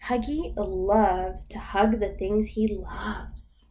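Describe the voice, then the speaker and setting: Slow, sing-song woman reading to babies. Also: medium pitch, steady tone, creaky, noisy background. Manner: slow, sing-song and exaggerated, with gasps and whispers. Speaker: children's librarian. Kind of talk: baby storytime reading.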